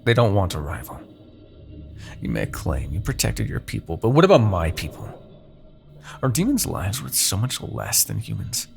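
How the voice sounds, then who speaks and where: A man playing a haughty, seductive demon king in a fantasy audio roleplay, persuading with silky condescension, dramatic pauses and a gravelly voice. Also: wildly swooping pitch, faint music behind, exaggerated voice. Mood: bitter, persuasive. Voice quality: gravelly, creaky low voice. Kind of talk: fantasy ASMR roleplay monologue.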